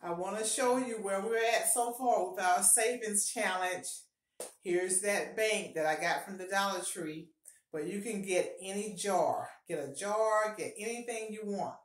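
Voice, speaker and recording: A woman's voice: mid-range voice, a little slow. A middle-aged woman giving upbeat, encouraging budgeting advice to camera in a casual home vlog, often repeating her key points. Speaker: personal finance vlogger. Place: small home bathroom, indoors. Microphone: fixed camera at close range. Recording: echoey small bathroom.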